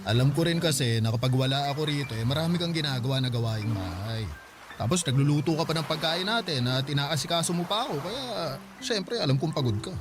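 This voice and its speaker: A man's voice: a little high, expressive, fast, noisy background, natural voice. A man playing a caring, protective young husband who frets over his wife in a radio drama. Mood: tender, insistent.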